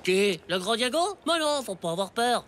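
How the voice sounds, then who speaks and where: Cheeky, bragging cartoon schoolboy. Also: female voice, on the high side, sing-song, normal speed, a little hiss or hum. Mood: boastful. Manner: slangy, bragging, stammers when scared. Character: brash ten-year-old schoolboy, boastful, cheeky and hapless. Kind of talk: French comedy cartoon dialogue.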